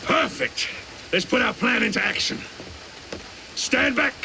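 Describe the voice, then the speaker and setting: An actor playing a flamboyant, villainous gang boss in a video game, theatrical and grandiose, with a mock-affectionate manner. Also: male voice, extremely high pitch, unhurried, vocal fry, pushed and bright, slight background noise, exaggerated voice, rough recording. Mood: gleeful showmanship. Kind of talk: video game cutscene acting.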